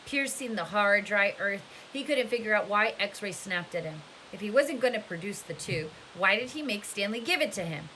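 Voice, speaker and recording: Woman doing audiobook style read aloud, bright voice, expressive, little bit of hiss. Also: mid-range voice, poor audio. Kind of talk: expressive storybook narration. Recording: faint background hiss.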